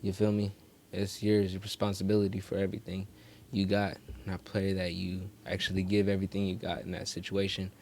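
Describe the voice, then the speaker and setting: Low-pitched man in slangy podcast chat. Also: monotone, fairly quick, a little mellow, slight background noise. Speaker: podcast panelist.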